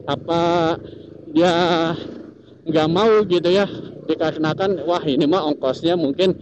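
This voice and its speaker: Muffled audio with clear vehicle noise carries a man's fairly high voice. He speaks with a lilt, chatting casually like a motovlogger telling viewers a story on the ride. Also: unhurried. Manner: chatty, repetitive tag phrases, chuckles often. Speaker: motovlogger host. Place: outdoors, riding a motorcycle in traffic.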